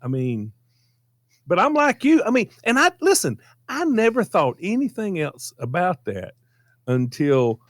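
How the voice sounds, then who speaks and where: A man's voice: sits high, huge pitch swings, measured pace, no echo. A man with a Southern American accent telling an anecdote casually in a folksy, repetitive, emphatic way. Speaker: storytelling show regular.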